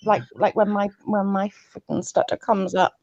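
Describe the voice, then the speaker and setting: A woman's voice: mid-range voice, little pitch movement, normal speed, creaky, a little mellow, dry recording, lo-fi sound. A woman who stutters, talking earnestly and pleadingly over a video call. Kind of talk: heated casual chat.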